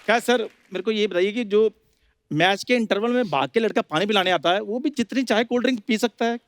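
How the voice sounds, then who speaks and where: A man's voice: sits high, brisk, background noise, close to an everyday voice. A man playing a brash, scheming freeloader in a TV comedy sketch, pleading and boasting. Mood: boastful.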